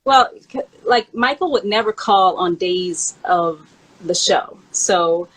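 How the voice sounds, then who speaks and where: Woman chatting, slightly husky low-clarity voice. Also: slow pace, a bit bright, poor audio. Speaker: host and memoir author counting down favorite songs. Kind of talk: casual chat, personal reminiscing.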